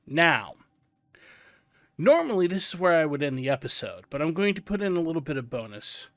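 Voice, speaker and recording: A male host giving a casual walkthrough commentary on a video game, explaining each step in a relaxed, drawn-out way. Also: a little high, no echo, clean studio sound.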